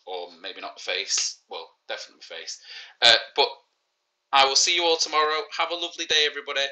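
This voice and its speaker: A man's voice: mid-range voice, sing-song, brisk, bright, forceful tone, low-quality recording. A man in his forties with a Manchester accent, a teacher hosting a live online quiz in a casual, joking, self-mocking way. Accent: Mancunian. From Manchester, England. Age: 40s. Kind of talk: casual livestreamed lesson.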